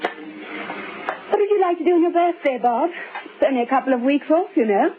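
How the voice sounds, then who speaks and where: A woman's voice: high voice, expressive, normal speed, phone-quality sound, over music. A woman playing a smug, teasing wife in an old-time radio drama. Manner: breezy, teasing, dismissive. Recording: band-limited old radio recording.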